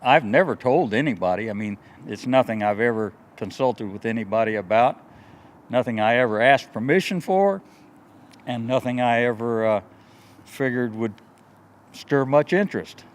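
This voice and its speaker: A man's voice: mid-range voice, lively intonation, moderate pace. A man in his sixties talking casually and earnestly, telling his story in a folksy way. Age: 60s. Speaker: interviewee, airport worker and pilot. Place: outdoors in a grassy wooded clearing. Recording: noisy outdoor field recording, faint vehicle hum.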